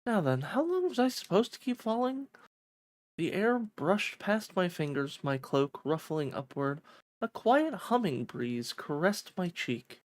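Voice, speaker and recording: A streamer voicing a pensive young heroine narrating a fantasy visual novel in a measured, wondering way. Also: mid-range voice, big pitch swings. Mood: dreamy, wondering.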